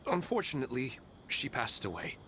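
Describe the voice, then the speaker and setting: A man playing a gentle, wistful widower in a video game, speaking politely and hesitantly. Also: mid-range voice, wildly swooping pitch, a little fast, noisy background. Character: gentle, wistful widower seeking advice about dreams. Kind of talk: video game character acting. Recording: game music behind the voice.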